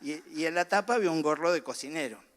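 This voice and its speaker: Rioplatense pastor with a higher voice. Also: male voice, lively intonation, quick pace, fairly bright tone. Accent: Rioplatense Spanish. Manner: conversational, joking with the congregation, then rising to fervent preaching. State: slight cough. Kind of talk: sermon.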